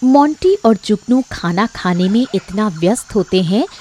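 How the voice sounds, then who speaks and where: A woman tells a scripted children's story as its narrator. Her voice is soft-toned and mid-pitched, slow but with very varied intonation. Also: expressive, a little hiss or hum. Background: faint music.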